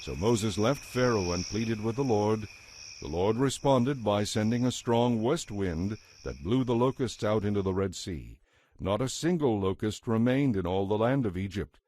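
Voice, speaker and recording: A man narrating scripture from a script as a solemn, measured storyteller. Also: on the low side, lively intonation, a little mellow, a little hiss or hum.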